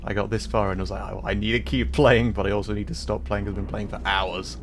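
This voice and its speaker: A man's voice: fairly low pitch, flat delivery, fast talker. A man casually commenting while he plays a video game on stream, his voice a bit scratchy today, reading warning signs aloud with light jokes. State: slightly scratchy voice. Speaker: gaming streamer. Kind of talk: casual let's-play commentary. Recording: game music behind voice.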